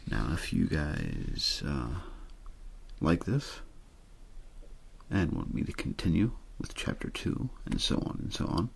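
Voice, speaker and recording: Very low, gravelly man reading softly. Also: huge pitch swings. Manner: soft, unhurried, untheatrical reading. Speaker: host and reader of an audio ASMR show. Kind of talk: ASMR story reading.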